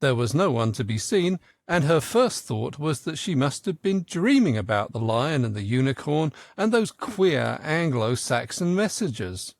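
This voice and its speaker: A man narrating a children's story in an expressive, theatrical audiobook style. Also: fairly high pitch, a little slow, gravelly, few pauses, no room sound.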